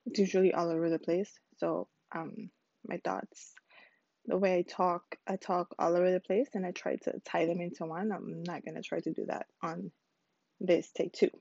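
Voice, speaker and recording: A woman in her twenties from the Virgin Islands, hosting her own podcast in a rambling, chatty way with a slightly squeaky voice. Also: little pitch movement, normal speed, creaky, a little mellow, dry recording, dull audio. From United States Virgin Islands. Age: 20s. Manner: rambling, chatty, self-correcting. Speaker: podcast host. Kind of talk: casual solo podcast intro.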